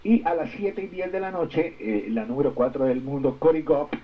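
A man with a Colombian accent co-hosting a radio show in casual sports chat, halting and repeating himself as he searches for words. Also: a little high, lively intonation, muffled recording.

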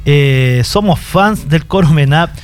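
A man's voice: a little high, lively intonation, takes it slowly, smooth. Chilean man reading viewer messages. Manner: reads messages aloud, playful banter. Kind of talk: casual chat, reading messages aloud.

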